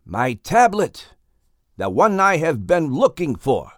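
A man's voice: on the high side, sing-song, slow, a bit bright, no echo, studio quality, pitched above the performer's own voice, full character voice. A man voicing an elderly father in a storybook. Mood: excited. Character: elderly father, excited patriarch.

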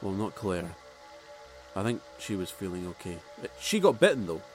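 A man acting a frightened male crew member woken from stasis, halting and disbelieving. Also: sits low, sing-song, faint music behind. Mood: anxious. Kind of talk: audio drama acting.

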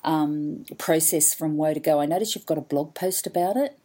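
Woman, Australian accent, podcast host, chatty, enthusiastic, husky, lowish voice. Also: moderate pace, mellow. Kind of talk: casual interview chat.

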